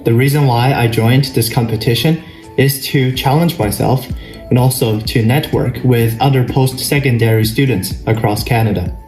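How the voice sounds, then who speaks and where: A man, a student competitor, giving a plain, measured self-introduction. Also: mid-range voice, fairly even intonation, darkish tone. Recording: roomy recording. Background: music.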